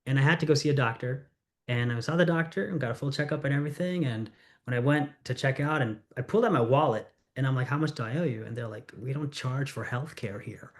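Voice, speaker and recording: A middle-aged man from the United States, a congressional candidate answering interview questions in an earnest, storytelling way. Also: medium pitch. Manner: earnest, storytelling, quick asides.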